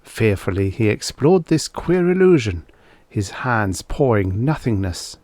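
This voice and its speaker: A man reading a story aloud as an audiobook narrator, in a measured, deliberate manner. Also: fairly low pitch, very animated, darkish tone, dry recording.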